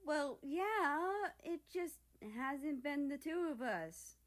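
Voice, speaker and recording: A woman voicing a playful, cocky young female character in a story, pouty and teasing in an animated way. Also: high-pitched, slow, voice pushed up. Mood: gleeful. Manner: giggly, squealing, teasing.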